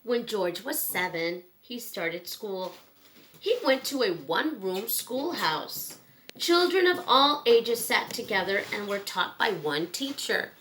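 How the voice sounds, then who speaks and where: A woman reading a children's book aloud in a simple, measured storytelling manner with pauses. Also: medium pitch, fairly bright tone, slight background noise, poor audio.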